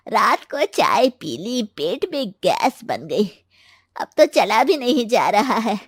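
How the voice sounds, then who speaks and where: A woman's voice: fairly high pitch, no room sound. A bossy middle-aged mother-in-law as a woman storyteller plays her, grumbling and demanding. Her words are drawn out and commanding, in a dry, close recording for a family storybook. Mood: groaning, grumbling.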